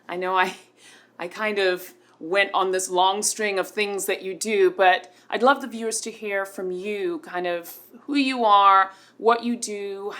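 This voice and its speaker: A woman's voice: medium pitch, fairly bright tone. A woman hosting an interview show, upbeat and gushing, with plenty of ums. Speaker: host. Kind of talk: scripted show intro and interview.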